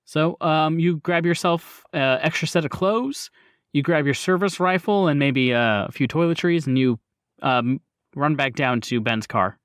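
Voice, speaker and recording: A man's voice: a little high, a little slow, clean tone, no echo, clean studio sound. A man acting as game master, improvising the narration of a tabletop role-playing game.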